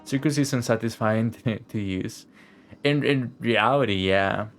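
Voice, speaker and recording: A man's voice: mid-range voice, moderate pace. A man giving casual gaming commentary as he streams a video game. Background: video game audio and music.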